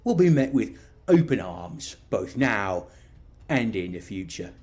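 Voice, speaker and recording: A man voicing a courteous, condescending alien diplomat who speaks in measured, veiled threats for an audio drama. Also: lowish voice, big pitch swings, darkish tone, breathy edge, voice pushed down, heavily acted. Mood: polite, sinister. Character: polite, smugly menacing male alien diplomat, condescending.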